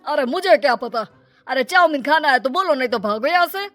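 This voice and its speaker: A woman voicing a greedy, scheming middle-aged moneylender in an animated moral story, sly and brusque. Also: sits high, fairly quick, no echo, soft background music.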